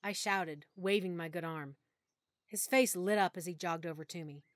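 A woman narrating a full-cast audiobook in first person, with expressive, clear storytelling. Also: fairly low pitch, normal speed, dry recording, clean studio sound. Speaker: narrator and lead character.

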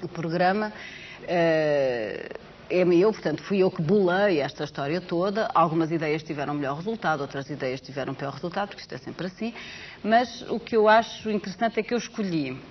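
A middle-aged woman from Portugal with a Portuguese accent tinged with Brazilian sounds, chatting as a TV guest about her project and telling stories with animated asides. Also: on the low side. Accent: Portuguese with Brazilian touches. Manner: animated storyteller, gesturing, quick asides. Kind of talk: casual chat on a TV talk show. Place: TV studio set. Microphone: lapel mic. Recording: dull lo-fi TV broadcast with faint hiss.

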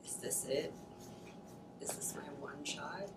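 A young woman acting a hurt, sarcastic daughter who works at a coffee shop, halting and awkward in a naturalistic dramatic scene. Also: low voice. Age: young adult. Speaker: actor. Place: indoor studio against blue backdrop. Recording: roomy, distant mic, noticeable noise.

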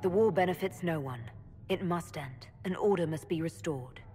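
A woman's voice: fairly low pitch, normal speed, mellow, slight background noise, lightly acted. A woman playing a young elf mage in a video game, composed, direct and probing. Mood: composed, firm. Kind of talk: video game cutscene acting.

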